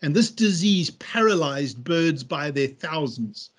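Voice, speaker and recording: A man's voice: a little high, lively intonation, slow pace, breathy edge. A middle-aged man with a South African accent, a veterinary school head, explaining steadily over a video call. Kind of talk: measured interview answers.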